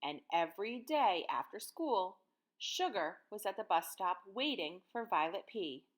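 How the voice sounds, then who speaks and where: American woman, thirties, teacher, children's read-aloud style, animated intonation, simple child-directed phrasing, medium pitch. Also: measured pace. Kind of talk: picture-book read-aloud and lesson for children.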